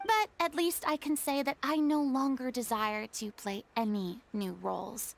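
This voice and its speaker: An actress voicing a proud, theatrical former goddess in a game, with a formal, haughty way of talking. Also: female voice, sits high, moderate pace, gravelly, slight background noise. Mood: wistful. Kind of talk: game voice acting.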